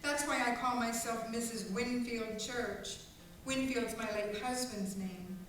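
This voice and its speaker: A genteel, chatty rich widow, acted by a woman in a radio play. Her fairly high voice is heard from far off in an echoey hall. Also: a little slow, fairly bright tone, a little hiss or hum. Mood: gracious, curious. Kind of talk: stage radio play acting.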